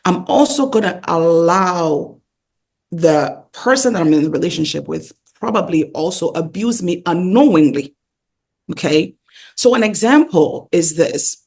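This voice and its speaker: Guest pastor and coach: woman, husky with a creaky edge, emphatic, conversational teacher who leans on repetition. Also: fairly low pitch, expressive, measured pace, darkish tone. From Ghana. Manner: emphatic, repeats key phrases, rhetorical questions.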